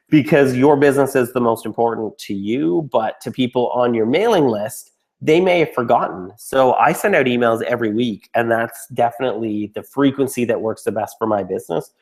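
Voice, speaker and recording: A man getting over a cold, a little raspy and snuffly, answering student questions in a casual, frank Q&A. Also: medium pitch, darkish tone. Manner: blunt, frank, with mild swearing and restarts. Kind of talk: casual Q&A talk.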